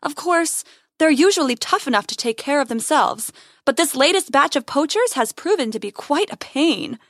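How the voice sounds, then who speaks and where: Woman as an effusive, high-pitched colony president. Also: expressive, normal speed, fairly bright tone, no room sound, clean studio sound. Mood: earnest, regretful. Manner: effusive, formal.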